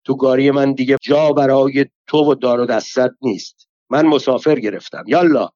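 A man playing a gruff male cart driver who talks in a rough, blunt, threatening way, on a slightly dull recording. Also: medium pitch, fairly even intonation, darkish tone, no room sound, lightly acted.

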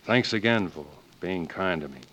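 A man's voice: deep voice, expressive, moderate pace, fairly bright tone, rough recording. A man playing a courteous, plain-spoken young outlaw with a Western American accent in a 1940s radio drama. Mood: humble, rueful. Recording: hissy 1940s radio recording.

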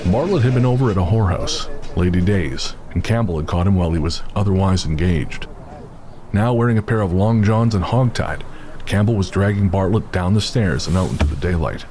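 A man narrating a scripted horror audio drama in a measured, steady way. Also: deep voice, background noise. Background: music.

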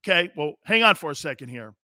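A high-voiced male sports talk host with a bright, forceful tone. He speaks emphatically, reading out listener messages and chatting with a guest. The sound is close and dry from a studio. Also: sing-song, fast talker, no room sound. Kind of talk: sports talk radio hosting.

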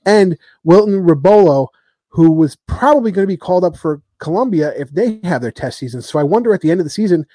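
Man, podcast host, casual rugby talk, rambling with fillers, joking jabs, warm tone, close dry mic. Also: on the high side, no echo. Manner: rambling, lots of ums, joking trash talk.

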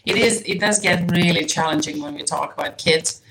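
Soft, hesitant woman with a low voice. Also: moderate pace, slight background noise, rough recording. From Serbia. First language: Serbian. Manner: soft-spoken, hesitant, many restarts, laughs often. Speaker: guest, nutrition coach. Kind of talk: casual interview.

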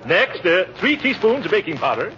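A pompous American uncle in a vintage radio comedy, blustering and hemming his way along. His fairly high voice swings widely up and down, on a muffled old recording with heavy noise. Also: very animated, moderate pace. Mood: busy, confident. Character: pompous, blustering middle-aged uncle, flustered but eager. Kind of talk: 1940s radio comedy acting.

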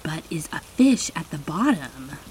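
A woman voicing a kind, eager little fairy princess in a children's storybook, gentle, airy and reassuring. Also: low-pitched, very animated, measured pace, slight background noise, voice pushed up, rough recording.

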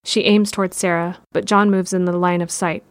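A woman's voice: on the low side, little pitch movement, fairly quick, a little hiss or hum. A woman reading scripted narration for an audio drama. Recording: music behind the voice.

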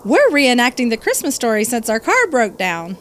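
A woman's voice: a little high, wildly swooping pitch, moderate pace. A woman playing a wry family mom in an audio drama. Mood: explaining. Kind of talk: church audio drama acting.

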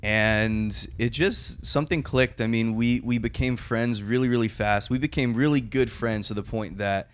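A man in his mid-thirties hosting a casual chat, talking in a relaxed, rambling way. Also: medium pitch, moderate pace, hardly any pauses, clear, resonant tone. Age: 30s. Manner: laid-back, rambling, laughs easily.

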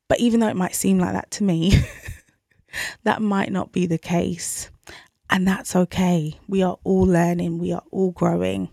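A woman's voice: on the low side, gravelly, darkish tone, no room sound, studio quality. A woman in her thirties hosting a parenting podcast alone, talking in a conversational, reflective way and openly questioning herself. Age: 30s. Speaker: podcast host. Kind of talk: solo parenting podcast talk.

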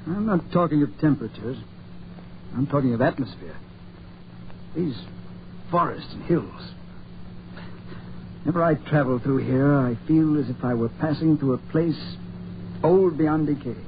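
An actor playing an impatient, gruff, sceptical male traveller in a 1940s radio horror drama, with a brusque, dramatic delivery. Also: fairly high pitch, unhurried, long pauses. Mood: brooding. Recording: muffled, noisy 1940s radio recording.

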